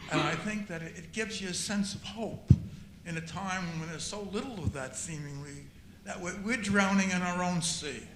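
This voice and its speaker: A man's voice: high voice, moderate pace. Older man musing in an echoey hall. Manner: reflective, repeats words while searching. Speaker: audience member. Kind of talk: audience comment at Q&A. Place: auditorium after screening. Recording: echoey hall with faint noise.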